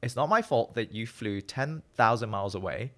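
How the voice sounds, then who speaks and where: A man in his thirties from Malaysia, with a Malaysian-British accent that carries Midlands traces, speaking as a guest researcher in a reflective, animated way. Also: medium pitch, normal speed. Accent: Malaysian-British English with Midlands traces. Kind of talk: conversational interview.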